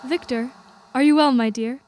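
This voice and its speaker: Young woman acting as gentle loving bride, radio play, high pitch, sweet formal diction, dry close sound. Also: fairly even intonation, moderate pace, dry recording, close to an everyday voice. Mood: reassuring, fond. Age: young adult. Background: music underscoring.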